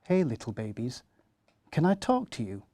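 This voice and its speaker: A man voicing a gentle, apologetic mother breaking bad news to her children in a children's story, soft and hesitant. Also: mid-range voice, wildly swooping pitch, moderate pace, dry recording, pitched below the performer's own voice.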